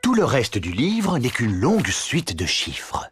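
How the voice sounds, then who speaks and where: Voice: mid-range voice, huge pitch swings, slow pace. A narrator reading scripted lines for a French children's cartoon. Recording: music playing behind the voice.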